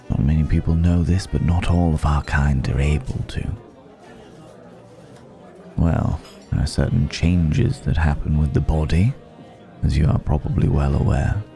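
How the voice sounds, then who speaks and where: A man playing a suave, courteous vampire in an intimate ASMR roleplay, speaking in a refined, formal, gently amused way with lingering pauses. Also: extremely low pitch, vocal fry, heavily acted. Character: suave, courteous male vampire dining with a mortal guest.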